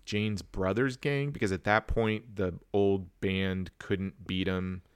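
A man with a low-pitched voice hosts a casual movie discussion. He rambles as he thinks aloud, and the recording is dry with very little room sound. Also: steady tone, slow, smooth, no echo. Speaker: movie podcast host. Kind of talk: casual chat.

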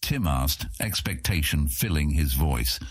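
A man's voice: very deep voice, expressive, measured pace, breathy edge, no echo, clean studio sound. A man narrating scripted fiction as an audiobook narrator.

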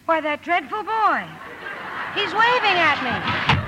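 An actress playing a bold, impulsive teenage tomboy in a radio drama, brash and forthright. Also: female voice, very high voice, big pitch swings, slow, very noisy, full character voice. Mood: excited. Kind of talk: 1950s radio drama acting. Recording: old 1950s radio broadcast recording.

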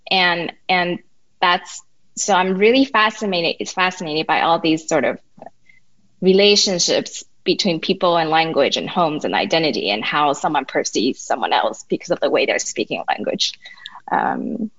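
A woman from Taiwan whose first language is Mandarin, speaking English as a podcast guest in a reflective, halting way with many restarts. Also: sits low, lively intonation, fairly bright tone, no echo, muffled recording. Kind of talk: casual interview.

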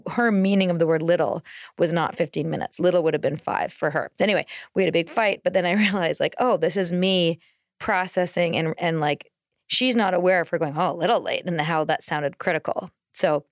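A woman's voice: on the low side, brisk, dry recording, clean studio sound. A woman co-hosting a casual podcast conversation, explaining things through personal anecdotes. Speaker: podcast co-host.

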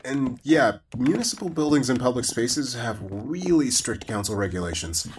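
A man's voice: medium pitch, animated, normal speed, slight background noise, lightly acted. A man playing a skeptical, by-the-book young investigator in a fantasy audio drama. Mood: matter-of-fact, doubtful.

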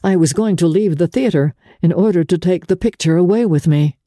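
Woman narrator as a reserved, mellow young gentleman. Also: fairly low pitch, fairly quick, no echo, clean studio sound, voice pushed up, lightly acted. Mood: tender.

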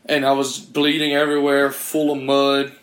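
A man hosting a podcast in casual, profane chat, hoarse after losing his voice, rambling and laughing at his own bad luck. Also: mid-range voice, completely flat delivery, normal speed, a bit bright. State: hoarse from a lost voice. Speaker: podcast host. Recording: echoey room.

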